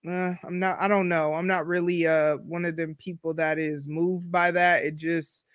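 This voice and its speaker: A woman's voice: on the low side, flat delivery, normal speed, no echo, studio quality. A woman in her forties hosting a solo podcast, talking candidly in a chatty, slangy way full of asides. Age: 40s. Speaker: podcast host. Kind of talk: casual solo podcast monologue.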